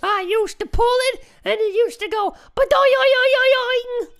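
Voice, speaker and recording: Woman doing a shrill, gushing farm gal. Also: fairly even intonation, normal speed, creaky. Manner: dramatic, gushing, silly. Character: excitable farm woman, old friend. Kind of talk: improvised comedy role-play.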